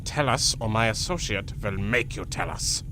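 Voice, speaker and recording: Man acting low-voiced, imperious villain master. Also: lively intonation, background noise, natural voice. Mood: menacing. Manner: commanding, deliberate, threatening. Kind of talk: audio drama acting.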